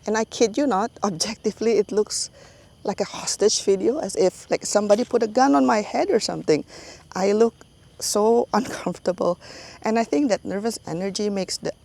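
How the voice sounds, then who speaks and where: Chatty Singaporean woman with a mellow voice. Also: mid-range voice, moderate pace, gravelly. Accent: Singaporean English. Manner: chatty, laughs often mid-sentence. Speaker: YouTube channel host. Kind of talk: casual voiceover chat to viewers.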